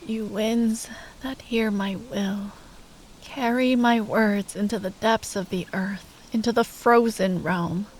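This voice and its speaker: Woman acting a slow, hushed, grieving young sorceress. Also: mid-range voice, steady tone, slight background noise, lightly acted, rough recording. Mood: solemn incantation. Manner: hushed, halting, often dropping into whispers. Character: grieving young sorceress ex-girlfriend at a bedside, tender and despairing. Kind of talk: intimate ASMR roleplay acting.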